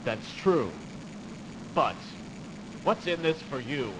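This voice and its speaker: A man's voice: medium pitch, lively intonation, slow pace. A man playing a disgruntled, idealistic young nobleman in a video game, speaking theatrically with haughty indignation. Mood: wary, resolved. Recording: noisy, reverberant early CD game audio.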